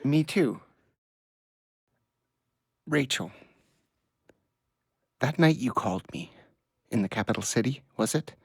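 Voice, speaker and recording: An awkward, anxious middle-aged father in an audio play. He, with halting, earnest speech that swings widely in pitch. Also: lively intonation, husky, no echo, clean studio sound, heavily acted. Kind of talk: naturalistic audio play acting.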